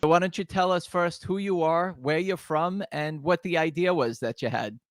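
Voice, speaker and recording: Show host, a man with a slightly raised pitch; dry recording, loose rambling questions. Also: moderate pace, no room sound. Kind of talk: interview hosting.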